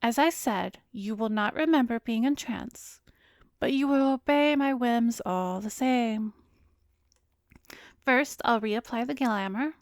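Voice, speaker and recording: A woman acts out a cruel, seductive vampiress in a hypnotic ASMR roleplay. Her voice is fairly high and very dry, close to the ear, often sinking into a breathy whisper. She speaks slowly, teasing and commanding as she savors her power. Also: dry recording. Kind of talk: ASMR roleplay audio drama.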